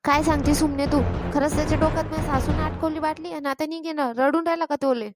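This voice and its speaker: A woman's voice: high voice, steady tone, fast, loud music behind the voice, natural voice. A woman voicing a sarcastic, grumbling village mother and daughter-in-law in a cartoon comedy, with a rural Maharashtrian accent. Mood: indignant. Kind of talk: Marathi cartoon comedy dialogue.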